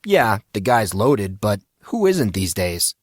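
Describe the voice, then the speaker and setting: A man's voice: lowish voice, big pitch swings, slow, no room sound, clean studio sound, heavily acted. A man voicing an aloof, scornful teenage know-it-all in an audiobook story, matter-of-fact and condescending.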